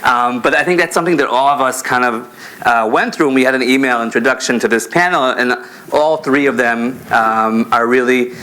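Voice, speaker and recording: A man's voice: mid-range voice, a little fast, sharp tone, poor audio. A man raised in Israel moderating a conference panel in a chatty, self-deprecating way, with many fillers. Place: conference hall.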